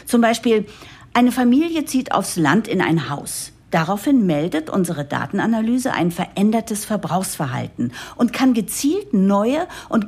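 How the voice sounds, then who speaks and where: An actress playing a determined new managing director who narrates an audio drama, expressive, with a breathy, husky voice. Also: female voice, medium pitch, full character voice. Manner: expressive, explanatory. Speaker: narrator and lead character. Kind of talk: German audio drama, first-person narration and dialogue.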